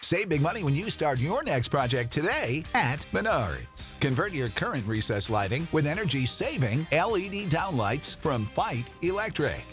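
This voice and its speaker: A man announces a retail commercial in an upbeat, scripted style. His voice is fairly high and animated, with faint music behind.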